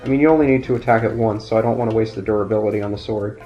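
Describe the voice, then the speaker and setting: A man calmly commentating his gameplay, thinking aloud, his voice nasal and raspy from a sinus infection. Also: lowish voice, very fast. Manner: calm, explanatory, thinking aloud. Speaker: let's play commentator. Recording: dull lo-fi audio, faint game music.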